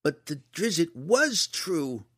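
A man's voice: on the high side, sing-song, normal speed, voice pushed up. A man voicing a defiant, mischievous little farm boy who protests stubbornly and emphatically.

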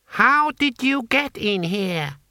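Man putting on a high, bright, pompous official. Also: animated, takes it slowly, no echo, clean studio sound, exaggerated voice. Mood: alarmed, affronted. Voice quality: croaking. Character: flustered, pompous middle-aged male imperial tax official.

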